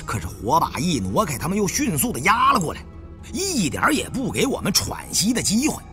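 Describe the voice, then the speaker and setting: A man narrating an adventure story in a dramatic, expressive storytelling manner. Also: high-pitched, brisk, soft background music. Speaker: audiobook narrator.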